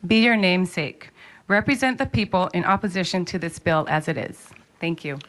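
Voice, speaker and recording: A woman's voice: fairly low pitch, expressive, fairly quick, a bit bright, poor audio. A woman giving formal public testimony, speaking in a pleading, pointed and urgent way.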